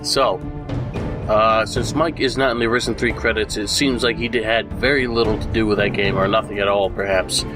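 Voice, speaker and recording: A man's voice: on the low side, steady tone, normal speed. Congested, rambling man with music behind. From United States. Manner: rambling, many fillers and restarts. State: congested, recovering from illness. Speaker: gaming YouTuber. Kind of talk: casual news update.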